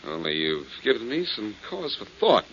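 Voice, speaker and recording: A man's voice: fairly low pitch, huge pitch swings, moderate pace, a bit bright, full character voice. A man playing a cocky, wisecracking detective with a glib, needling manner in a 1940s radio drama. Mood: mocking menace. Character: cocky, wisecracking amateur detective, teasing and quietly menacing. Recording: muffled, noisy 1940s radio recording.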